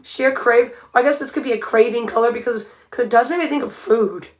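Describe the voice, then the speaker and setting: A woman's voice: fairly high pitch, brisk. Chatty woman thinking aloud while painting nails. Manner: chatty, thinking aloud, frequent restarts and self-corrections. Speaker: nail art livestreamer. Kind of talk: casual livestream chat while painting nails. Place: home by a window.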